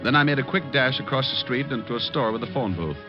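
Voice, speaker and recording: A man's voice: medium pitch, lively intonation, fast, natural voice. Glib radio private eye played by a man, mid-range voice, cool, wry narration and banter. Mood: wry narrating. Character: wisecracking male private detective, cool and cocky. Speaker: lead and narrator. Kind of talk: old-time radio detective narration and dialogue. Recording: muffled old radio recording with music.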